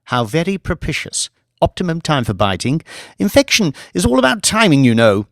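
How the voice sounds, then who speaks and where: A man playing an excitable, high-pitched gloating scientist. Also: normal speed, no echo, clean studio sound. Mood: arch, teasing. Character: eccentric, gleeful scientist plotting disease, fussy and rambling. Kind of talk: audiobook character voice.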